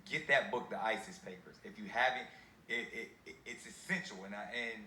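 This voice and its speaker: Brief: male host, bright forceful tone, chatty and affirming, roomy echo. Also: lowish voice, a little fast. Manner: conversational, keeps affirming, cites books. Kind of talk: casual discussion. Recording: echoey room, faint background noise.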